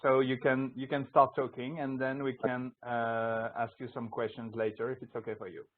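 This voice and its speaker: A man's voice: medium pitch, flat delivery, normal speed, slight background noise. A man hosting a radio show, introducing his guest casually with many hesitations and fillers. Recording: tinny phone-line audio.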